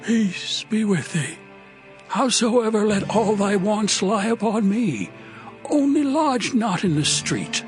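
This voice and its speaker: A man's voice: high voice, lively intonation, slow pace, soft background music. A man playing a hospitable, protective old man in a dramatized scripture reading, speaking archaic English in an earnest, imploring way. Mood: kindly concern.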